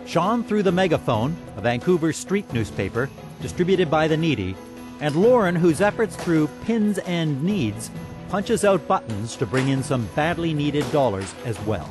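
Animated male feature reporter. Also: a little high, normal speed, music in the background. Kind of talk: scripted TV feature narration.

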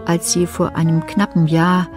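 A woman playing the detached, composed narrator of a German radio crime play, in measured scripted narration. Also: on the low side, monotone, a little mellow, soft background music, natural voice.

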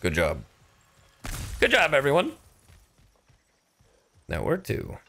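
A man's voice: mid-range voice, slow, vocal fry. A man doing live gameplay commentary as a streamer, playful and freely swearing. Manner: animated, playful, profane. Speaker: VTuber game streamer.

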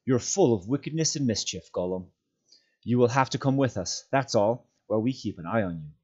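A man's voice: medium pitch, lively intonation, darkish tone, breathy edge, muffled recording, voice pushed up. A man voicing an earnest hobbit master in a storybook reading, stern yet merciful, speaking firmly and solemnly. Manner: firm, solemn, measured.

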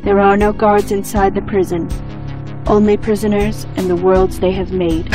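A woman narrating an audio drama in a grave, measured, scripted style with deliberate phrasing. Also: medium pitch.

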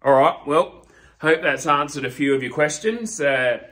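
A man's voice: a little high, fairly bright tone. A man, a master plumber explaining step by step to camera from a small storeroom. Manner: slow, deliberate, explains step by step while holding up pipe fittings. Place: small storeroom with shelves, indoors. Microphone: camera in front at close range.

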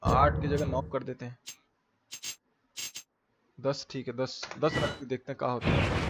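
A man's voice: mid-range voice, little pitch movement, a little slow. A man with a Bihari accent giving exclamatory, slangy, sweary live game commentary and greeting his chat. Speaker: game streamer. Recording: noisy, game sounds behind.

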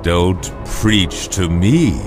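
Deep, slow, sly necromancer. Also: male voice, lively intonation, music in the background, heavily acted. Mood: mocking, menacing. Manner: slow, taunting, sinister. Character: villainous necromancer, sly, mocking, menacing. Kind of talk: video game cutscene acting.

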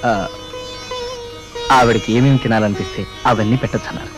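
An actor playing a dutiful young family man in a film, brisk and practical. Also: mid-range voice, fairly even intonation, soft background music, close to an everyday voice.

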